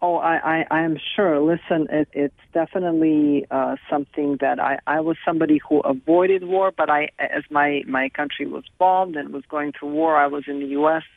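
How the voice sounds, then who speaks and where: A middle-aged woman from Serbia, speaking as a guest over the phone in an earnest, halting way with many restarts. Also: low voice, steady tone, gravelly, no echo. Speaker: film director and guest. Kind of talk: phone interview. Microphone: telephone. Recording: tinny dry phone line.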